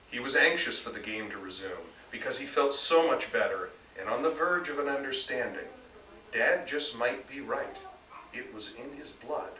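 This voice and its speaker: A man's voice: medium pitch, sharp tone. A man reading his own literary fiction aloud at a live author event. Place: bookstore reading. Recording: roomy, distant mic, faint background noise.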